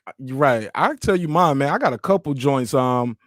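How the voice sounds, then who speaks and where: A man's voice: medium pitch, lively intonation, normal speed, no echo, studio quality. A young man on a panel, chatting casually and telling stories with animation. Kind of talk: casual chat, animated storytelling.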